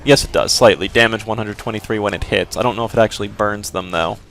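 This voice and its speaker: A man's voice: fairly low pitch, fairly even intonation, fast, creaky, fairly bright tone. A man casually commentating a game walkthrough, explaining as he plays, with a rambling, hedging way of talking. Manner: rambling, lots of fillers and hedges. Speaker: gameplay commentator.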